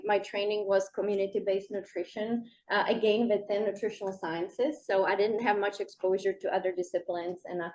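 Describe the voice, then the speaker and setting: A woman's voice: mid-range voice, slight background noise. A middle-aged woman with a Czech accent gives a keynote talk in a lecture room, full of ums and small asides. The recording sounds dull and lo-fi. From Czech Republic. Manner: many fillers, warm self-deprecating asides. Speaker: nutrition professor.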